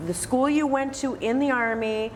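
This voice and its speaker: A woman's voice: high-pitched, steady tone, normal speed, a little hiss or hum. An American woman hosting an interview, encouraging her guest and restating what is said. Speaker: interviewer and host. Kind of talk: interview at a senior center, prompting and recapping.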